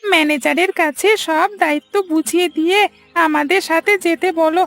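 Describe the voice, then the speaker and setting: A woman's voice: extremely high pitch, steady tone, fairly quick, a bit bright, no echo. A woman voicing a stubborn, sulky young schoolboy in a cartoon, with a whiny, insistent way of talking. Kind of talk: cartoon voice acting.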